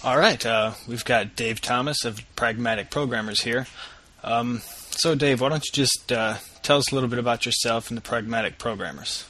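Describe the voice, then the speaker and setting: A man's voice: medium pitch, normal speed, few pauses, sharp tone, a little hiss or hum. A man hosting a podcast, reading a casual news roundup and interviewing a guest in an upbeat way. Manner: casual and upbeat, with easy laughs. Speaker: podcast host.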